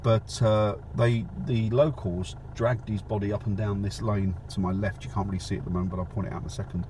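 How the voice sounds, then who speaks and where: A man's voice: fairly low pitch, steady tone, brisk, dark tone. A man from North London chatting casually while driving, rambling with lots of fillers and restarts. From North London, England. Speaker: vlogger. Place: in a car in the countryside. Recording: car noise in the background. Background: road and car noise.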